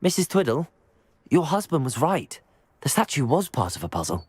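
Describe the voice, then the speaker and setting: Young wizard student for a fantasy game, polite and curious, with a lively, expressive delivery. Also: male voice, fairly high pitch, moderate pace, slightly rough, no echo. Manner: mild, earnest, talks to himself.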